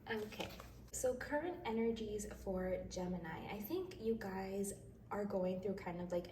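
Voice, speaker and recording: A woman with a soft, dark-edged mellow voice, a little stuffed up, talks casually through a spiritual tarot reading. Her delivery is informal and reassuring. She sounds set back from the mic in a roomy, echoey space. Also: medium pitch. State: slightly stuffy nose from crying. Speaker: tarot reader and host. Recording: distant mic, roomy echo, faint noise.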